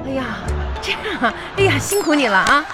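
Ditzy gossipy wife, high voice, really lively, coy radio comedy style. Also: female voice, fairly quick. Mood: sheepish, complaining. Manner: coy, exclamatory, dramatic domestic chatter. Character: scatterbrained, vain, gossipy wife fishing for compliments. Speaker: radio show host and performer. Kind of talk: comic radio drama dialogue. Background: music bed.

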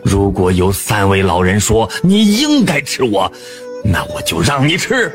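A man's voice: mid-range voice, sing-song, a little slow. A man doing a timid, stammering scholar. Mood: frightened. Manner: hesitant, stammering. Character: timid kind-hearted male scholar. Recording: faint background music.

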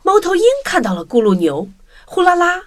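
Animated woman narrating a children's story. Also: extremely high pitch, normal speed, background noise. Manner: expressive, dramatic storytelling. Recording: clear music bed under the voice.

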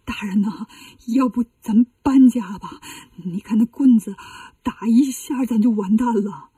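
A woman's voice: a little high, measured pace, warm tone, husky, no room sound, studio quality, recorded from a distance. A woman playing a timid, panicky male temple servant monk who speaks fearfully in a storybook tale. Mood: anxious, pleading. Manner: fearful, stammering.

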